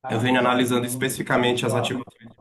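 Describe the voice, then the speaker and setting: A man's voice: medium pitch, completely flat delivery, racing, a crowd in the background. Man, Maranhão accent, polite online lecturer, hesitant delivery, video call sound. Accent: Maranhão Brazilian Portuguese. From Maranhão, Brazil.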